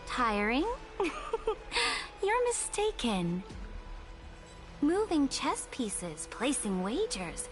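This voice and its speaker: A woman voicing a regal, calculating female emperor in a video game, with an imperious, theatrical and deliberate delivery. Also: high voice, huge pitch swings, faint music behind, full character voice. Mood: amused, musing. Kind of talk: dramatic video game voice acting.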